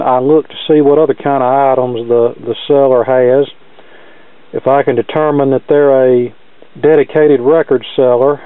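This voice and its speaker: A man talks casually as a video host, explaining things in a folksy, matter-of-fact way. His voice sits at an average pitch. The sound is close and dry, with a dull, lo-fi edge. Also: clear, resonant tone, no echo. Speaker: video host, record collector. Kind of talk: casual unboxing commentary with practical advice.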